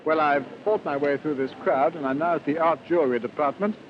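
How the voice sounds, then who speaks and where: A man's voice: a little high, quick pace. A man, a polite and hesitant reporter interviewing shoppers on the spot in a busy store. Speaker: newsreel reporter. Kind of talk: on-the-spot vox pop interviewing. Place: crowded department store sales floor. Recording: muffled 1950s newsreel, clear background noise.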